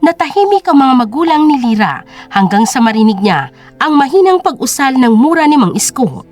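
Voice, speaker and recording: A woman's voice: high-pitched, sing-song, fairly quick, a little mellow, soft background music. A woman with a Filipino accent narrating a scripted story in a dramatic style.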